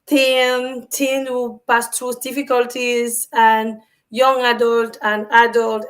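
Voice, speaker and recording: A woman from Cameroon, a guest on a video call, talking about her work in an earnest, warm way with some hesitant fillers. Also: on the high side. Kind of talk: interview answers.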